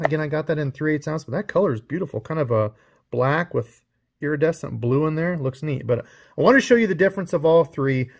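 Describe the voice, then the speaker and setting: A man's voice: a little high, gravelly. A man hosting his own fishing channel, chatting casually as he unboxes and compares lures. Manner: chatty, explains gear while handling it. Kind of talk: casual unboxing show-and-tell.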